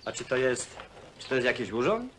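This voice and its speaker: An actor playing a simple, touchy hostel labourer who speaks plainly and haltingly, repeating himself, in a film drama. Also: male voice, mid-range voice, very animated, close to an everyday voice. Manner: halting, repetitive, plain-spoken. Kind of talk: naturalistic film acting. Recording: faint background noise, old film soundtrack.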